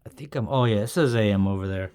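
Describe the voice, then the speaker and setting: Low-voiced man muttering over noisy audio. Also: fast, a little mellow. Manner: muttering, puzzled thinking aloud. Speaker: hobbyist demonstrating a radio. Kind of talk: casual unscripted hands-on commentary. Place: indoors at a wooden tabletop. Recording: noisy room with radio static. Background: radio noise.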